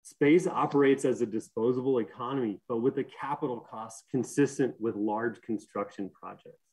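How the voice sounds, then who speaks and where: A man's voice: mid-range voice, normal speed, darkish tone, poor audio. Young guy presenting over a video call from home, mid-range voice, lots of uhs and restarts. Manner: many fillers and restarts, earnest explaining tone. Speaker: conference speaker, systems engineer. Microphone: webcam or laptop mic on a video call.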